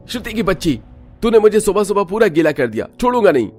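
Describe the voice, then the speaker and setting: A man voicing an excitable young brother in a cartoon story, loud and mock-threatening. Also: high voice, normal speed, soft background music, voice pushed up. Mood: playful outrage. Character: playfully scolding young brother, excitable, shift+.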